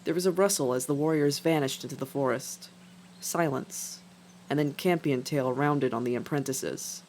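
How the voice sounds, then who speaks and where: A woman's voice: extremely low pitch, normal speed, a little mellow. A woman reading scripted fiction as a dramatic narrator. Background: music.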